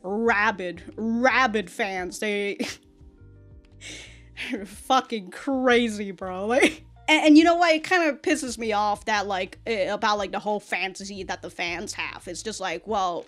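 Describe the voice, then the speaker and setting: An American woman giving casual, rambling reaction commentary, with an animated, slangy, sweary way of talking. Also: medium pitch, takes it slowly, soft background music. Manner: animated, sweary, slangy, often laughing mid-thought. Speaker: reaction video host.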